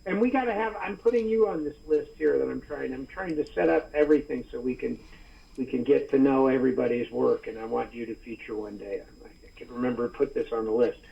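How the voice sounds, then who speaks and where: A man's voice: mid-range voice, fairly quick, gravelly. A man hosting an online poetry reading in casual, effusive chat, often repeating himself, heard over a muffled, distant call. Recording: muffled lo-fi call, far from mic.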